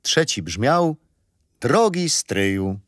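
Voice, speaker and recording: An actor playing a patient, gentle grandfather telling children a history story in a radio play. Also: medium pitch, big pitch swings, drawn-out words, no echo, studio quality. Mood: patient, fond. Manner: gentle, didactic.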